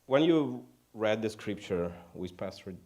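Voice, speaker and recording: A man from Ukraine with a Ukrainian accent preaches informally in English as a second language, halting and self-deprecating. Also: lowish voice, animated, dark tone. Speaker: guest preacher. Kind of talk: informal sermon.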